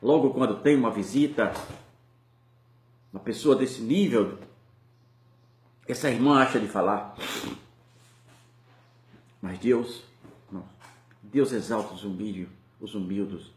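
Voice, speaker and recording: A man's voice: on the low side, slow pace, pauses often. Male preacher, Brazilian Portuguese accent, fervent swelling delivery, echoey church sound. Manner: impassioned, rising and falling preaching. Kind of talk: sermon.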